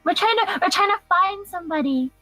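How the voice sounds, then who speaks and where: A woman's voice: extremely high pitch, animated, fairly quick, sharp tone, soft background music, exaggerated voice. A woman voicing an anxious young child in a tabletop role-playing game. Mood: pleading. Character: anxious young kid, a little girl.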